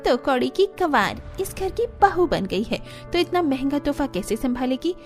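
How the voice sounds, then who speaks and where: Cartoon role: brash young daughter-in-law, played by a woman, high and swooping, taunting, insolent, English words mixed in. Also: very animated, slow, a little mellow, soft background music, exaggerated voice. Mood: scornful, defiant. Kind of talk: Hindi cartoon family drama acting.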